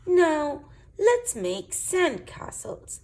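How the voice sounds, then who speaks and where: Woman putting on a keen elf boy, raised pitch. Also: very animated, very slow, darkish tone, full character voice. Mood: excited.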